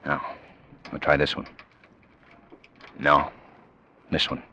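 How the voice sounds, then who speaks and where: A man playing a brisk, determined gentleman sleuth in old-time radio drama, with a take-charge manner. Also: extremely low pitch, completely flat delivery, heavily acted. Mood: brisk, hushed. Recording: noisy, clicking old radio recording.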